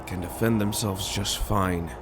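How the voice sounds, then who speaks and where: An actor playing a calm, solemn adult male monk giving urgent orders in a fan audio drama. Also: fairly low pitch, measured pace, very noisy, lightly acted.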